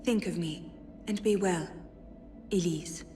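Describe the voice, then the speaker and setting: An actress playing a spirited young French noblewoman in a video game, reading letters aloud in an expressive, measured, articulate way. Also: fairly low pitch, slight background noise. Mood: anguished, pleading.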